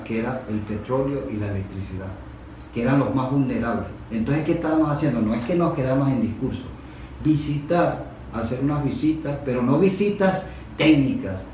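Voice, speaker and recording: Venezuelan male worker, halting explainer who repeats for emphasis; heavily echoing room, distant mic. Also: medium pitch, darkish tone. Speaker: industrial worker giving testimony. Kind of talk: unscripted panel discussion. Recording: very echoey room, distant mic, faint noise.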